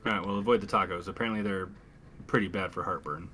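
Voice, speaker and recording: A man's voice: low voice, steady tone, quick pace, bright, forceful tone. A man playing a tabletop roleplaying game, speaking in character and describing actions in a terse, dry way.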